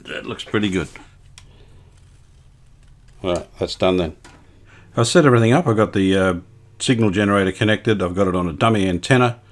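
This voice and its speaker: A man's voice: on the low side, fairly quick, pauses often. A man giving a casual hands-on repair demonstration, unscripted and thinking aloud as he works. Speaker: host of radio repair video.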